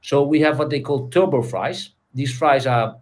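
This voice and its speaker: A man with a Dutch accent, a guest in casual chat, talking haltingly with many fillers and restarts. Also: medium pitch. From Netherlands.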